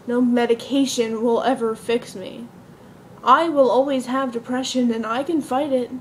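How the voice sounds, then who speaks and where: A woman acts out a bleak, depressed young woman in a dramatic stage monologue. The voice is on the high side, earnest and confessional, over a slightly noisy amateur recording. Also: steady tone, moderate pace, lightly acted. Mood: resigned, anguished.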